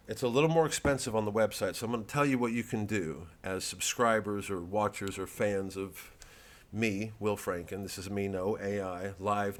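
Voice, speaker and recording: Kinda low-voiced guy rambling nervously to camera, lots of restarts, mocks himself. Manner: rambling, self-mocking, many restarts and fillers. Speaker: comedian promoting his show. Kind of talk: casual direct-to-camera chat.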